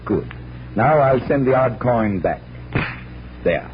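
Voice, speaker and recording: A man's voice: mid-range voice, animated, slow. An actor playing a confident, genial magician detective in 1940s radio style, instructing like a theatrical showman. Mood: playful showmanship. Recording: dull, noisy vintage radio recording.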